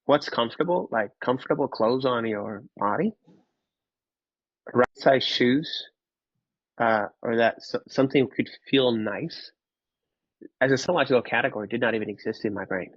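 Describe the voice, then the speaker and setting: A man, telling his personal story in an interview, candid and halting, often repeating words. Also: mid-range voice, dry recording, muffled recording. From Finland. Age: 30s. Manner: candid, casually profane. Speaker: podcast guest.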